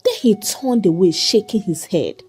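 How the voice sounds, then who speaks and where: A woman's voice: medium pitch, lively intonation, moderate pace, warm tone, breathy edge, faint music behind. A woman narrating a scripted dramatic tale as a storyteller.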